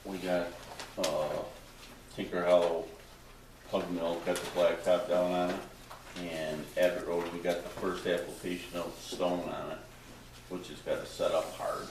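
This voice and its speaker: Man, plain-spoken, very low voice, echoey room, noisy background. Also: little pitch movement, unhurried, recorded from a distance. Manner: plain-spoken, matter-of-fact. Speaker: highway superintendent giving a report. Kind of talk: casual meeting report. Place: town hall meeting room.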